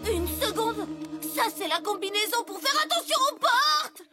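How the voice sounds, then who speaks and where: A woman's voice: very high-pitched, animated, moderate pace. A woman voicing a young, curious male baby dragon in a French cartoon dub, boyish and easily startled. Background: loud music score.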